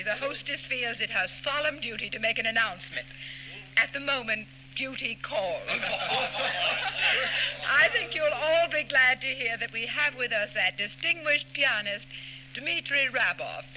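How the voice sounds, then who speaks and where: An actress playing a well-bred society hostess, formal and polished, in a 1940s radio drama. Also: female voice, high-pitched, lively intonation, normal speed, pushed and bright, exaggerated voice. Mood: gracious, bubbly. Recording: noisy old 1940s radio recording.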